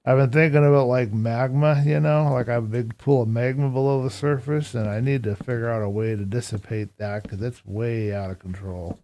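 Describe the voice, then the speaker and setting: A hoarse man chatting casually with viewers on a live stream while playing a game, answering chat aloud in a relaxed, reflective way. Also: medium pitch, fairly quick, hardly any pauses, lo-fi sound, slight background noise.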